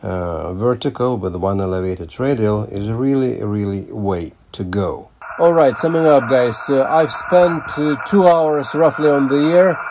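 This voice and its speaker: An older man giving a casual hands-on demonstration, speaking haltingly and repeating words as he goes. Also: mid-range voice, darkish tone, a little hiss or hum. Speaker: amateur radio operator presenting a field test.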